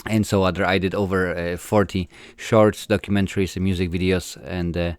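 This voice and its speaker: Polish filmmaker, 40s, low and smooth, halting answers full of fillers. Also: male voice, flat delivery, no room sound. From Poland. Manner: hesitant, many fillers and restarts. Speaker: filmmaker guest. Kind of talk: casual interview answers.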